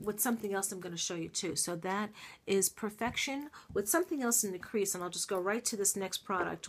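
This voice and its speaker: A stuffy-sounding middle-aged woman in a casual beauty vlog, gushing over products in a relaxed, rambling way. Her voice sits at an ordinary middle pitch, and she fills pauses with soft ums as she talks to camera. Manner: chatty, gushing, frequent ums. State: congested. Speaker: beauty vlogger. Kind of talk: casual favorites review to camera.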